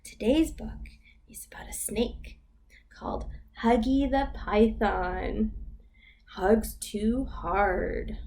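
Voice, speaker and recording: A woman leading a storytime for babies as a children's librarian, reading in an exaggerated sing-song way with gasps and hushed whispers. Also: mid-range voice, drawn-out words, slight background noise.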